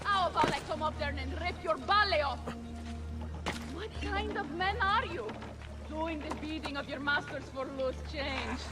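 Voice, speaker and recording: A woman's voice: high voice, normal speed, lightly acted. An actress playing a proud, foul-mouthed Renaissance noblewoman with an Italian accent, bold and theatrical in a game cutscene. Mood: furious, defiant. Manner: bold, brash, theatrical, peppers speech with Italian. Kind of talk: dramatic game cutscene acting. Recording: loud music behind voice. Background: game soundtrack music.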